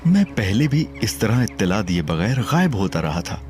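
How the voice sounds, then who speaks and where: A brash young detective in an audio drama, with a lively voice that swings freely up and down, faint music behind. He teases and boasts with theatrical flair and narrates his own story. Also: fairly low pitch, moderate pace. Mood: narrating. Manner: playful, teasing, theatrical. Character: cocky, joking young male detective and first-person narrator. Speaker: Ali Imran.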